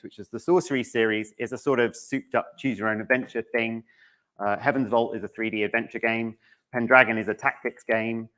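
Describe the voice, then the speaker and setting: A man speaks as a presenter at a conference. His voice sits at a medium pitch, and he talks in a conversational way, dropping in wry, offhand asides as he addresses the room. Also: a bit bright. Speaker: conference speaker, game studio narrative director. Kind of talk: public conference talk, conversational. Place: conference room in front of an audience.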